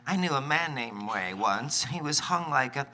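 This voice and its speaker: A man voicing a campy, saucy, excitable cartoon narrator reading action in a comic live radio play. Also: mid-range voice, normal speed, heavy background noise, natural voice. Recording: live convention stage.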